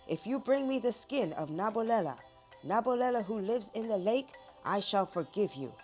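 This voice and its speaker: A woman's voice: mid-range voice, lively intonation, normal speed, darkish tone, soft background music, voice pushed up, lightly acted. A woman voicing a fierce, angry male village chief in a children's folk tale, scolding and commanding in a bellow.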